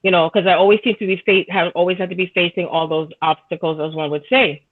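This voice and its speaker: A woman's voice: on the low side, steady tone, fast talker. Woman on a tinny phone line. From Jamaica. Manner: rambling, earnest, many fillers and restarts. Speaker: podcast guest, spiritual reader. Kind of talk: casual spiritual conversation.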